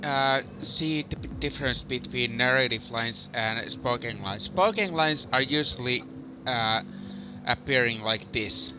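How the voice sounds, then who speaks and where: A man hosting a casual gaming video, talking in a halting, hesitant way. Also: medium pitch, noisy background. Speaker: host and narrator of a fandub let's play. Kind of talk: casual gaming commentary with read narration.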